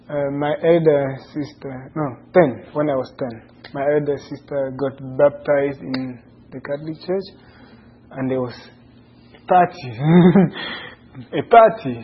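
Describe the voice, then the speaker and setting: A man from Cameroon, telling his life story to interviewers in an earnest, halting way as he searches for words. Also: a little high. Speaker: interview guest. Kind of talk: personal life story in conversation. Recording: dull lo-fi sound with faint noise.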